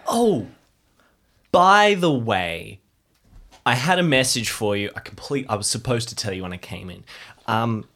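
A man's voice: mid-range voice, expressive, measured pace, pauses often, fairly bright tone, voice pushed up. Man playing a breezy, swooping young chatterer. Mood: breezy, chatty.

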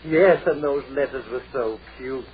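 A man's voice: medium pitch, measured pace, natural voice. An actor playing a genial, formal committee chairman in an old radio comedy, effusive and courteous, brushing past objections. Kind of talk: 1940s-50s radio sitcom acting. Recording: dull radio recording with audience noise.

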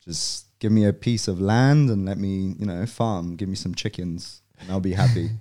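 A young man from Poland, a podcast guest, talking in a relaxed way and often pausing with "um" and "you know". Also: deep voice. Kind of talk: casual podcast conversation.

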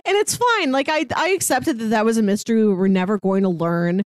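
A woman's voice: a little high, fairly quick, no room sound. Chatty woman podcaster, close dry sound. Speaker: podcast host. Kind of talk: casual chat discussing a TV series.

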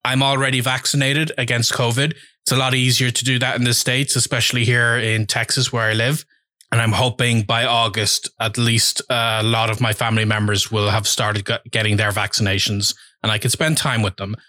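A man talks through a personal update in a bright, forceful voice. He is candid and reflective and pauses often. The sound is close and dry, with no room around it. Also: medium pitch, flat delivery, no room sound. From Ireland. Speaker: language-learning vlogger. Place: home.

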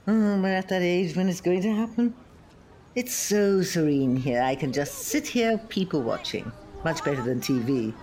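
A woman's voice: lowish voice, lively intonation, moderate pace, a little mellow, a little hiss or hum, close to an everyday voice. A woman playing a pious, serene elderly friend in an audio play, sweetly polite with dry retorts. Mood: pleased, serene. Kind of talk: scripted two-hander audio comedy-drama.

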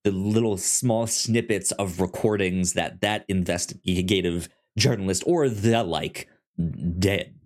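A man co-hosting a podcast, talking casually and haltingly as he thinks aloud and stammers over words. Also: low-pitched. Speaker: podcast co-host. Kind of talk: casual discussion and review.

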